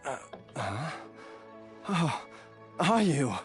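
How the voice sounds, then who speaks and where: A weary middle-aged father in an anime game, gentle and weak. The speech drags slowly, with faint music underneath. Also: a little high, big pitch swings, full character voice. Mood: weak, apologetic. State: weak, tired. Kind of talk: English anime game dub.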